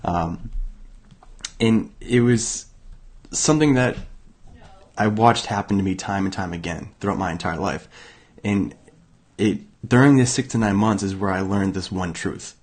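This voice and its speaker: A young man from Massachusetts hosting a motivational self-help show, hoarse and raspy from illness, talking casually with many fillers and restarts. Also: low voice, a little slow, long pauses. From Massachusetts, USA. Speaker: podcast host.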